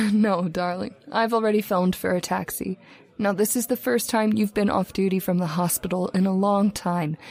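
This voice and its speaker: A woman playing an affectionate young fiancée in a radio drama, gentle and playful. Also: medium pitch, fairly even intonation, normal speed, close to an everyday voice. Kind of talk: old-time radio drama acting.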